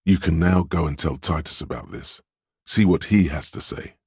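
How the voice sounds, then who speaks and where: An actor playing a stern, domineering inner voice of authority in a video game, with a pompous, imperious, measured delivery of dramatic narration. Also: male voice, low-pitched, breathy edge, no echo, clean studio sound, heavily acted.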